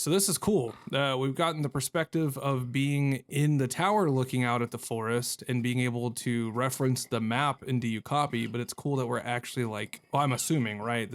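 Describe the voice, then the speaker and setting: A man giving casual, reactive gameplay commentary in a rambling, jokey manner with goofy mild exclamations. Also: mid-range voice. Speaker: gamer hosting a playthrough video.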